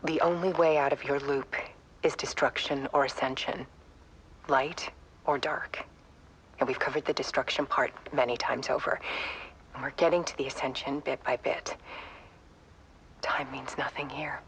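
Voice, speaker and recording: A woman playing a haunted artist in a video game, confiding in a hushed, halting, half-whispered monologue. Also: a bass voice, steady tone, slight background noise. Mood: tender, resolute. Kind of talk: intimate confessional game monologue.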